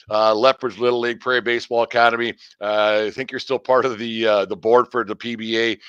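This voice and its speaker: A man's voice: mid-range voice, fairly bright tone, smooth, dry recording, highs cut off. A middle-aged man hosting a casual sports talk, rambling with lots of fillers and restarts. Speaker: podcast host.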